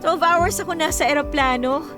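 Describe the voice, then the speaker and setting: A woman's voice: high-pitched, normal speed, natural voice. A woman acting a bubbly, affectionate, cheeky young woman in a radio drama, playful and exclamatory, over music. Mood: excited, affectionate. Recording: clear music under the voice.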